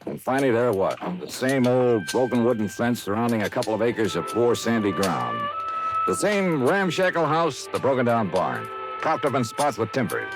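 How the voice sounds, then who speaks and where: An American actor playing a tough, wry insurance investigator in a hard-boiled old-time radio drama. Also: male voice, mid-range voice, very animated, normal speed, close to an everyday voice, low-quality recording. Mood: wry narrating. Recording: vintage radio recording with music.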